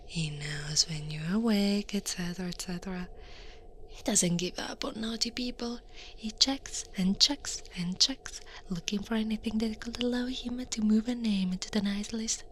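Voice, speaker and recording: An Italian woman playing a tall, teasing Krampus monster woman in a soft, breathy ASMR roleplay, often dropping into a whisper. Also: mid-range voice, slight background noise, natural voice. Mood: teasing, sly. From Italy. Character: eight-foot horned furry Krampus woman, teasing, coaxing, in control.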